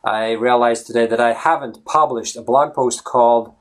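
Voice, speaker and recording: A man talks casually to camera about learning English, speaking as a coach to his viewers. He drifts off topic, circles back, and keeps checking in with a quick "right?" or "okay?". He sounds like he is recording in his home office. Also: mid-range voice, steady tone. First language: Latvian. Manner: rambling, veers off topic, tags lines with "right?".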